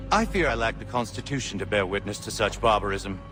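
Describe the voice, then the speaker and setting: An actor playing a genteel, cold villain in a video game, pompous and mock-polite. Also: male voice, on the low side. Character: genteel, cold, haughty male villain, extortionist. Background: game music.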